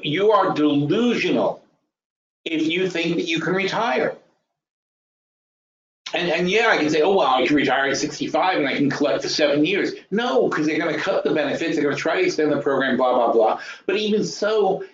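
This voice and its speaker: A man's voice: a little high, expressive, fairly quick. Guy mid 50s explaining stuff on a webinar, blunt, repeats himself, kinda dull lo-fi audio w lots of room echo. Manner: emphatic, blunt, repeats phrases for emphasis; thinks aloud, asks rhetorical questions. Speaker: webinar host and trading educator. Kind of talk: informal explanatory financial lecture.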